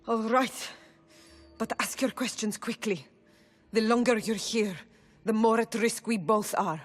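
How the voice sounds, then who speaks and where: A frightened breathy oracle. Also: female voice, fairly high pitch, a little slow, soft background music. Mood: fearful. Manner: urgent and fearful, pleading. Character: Pythia, frightened woman oracle under threat. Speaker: oracle in a video game.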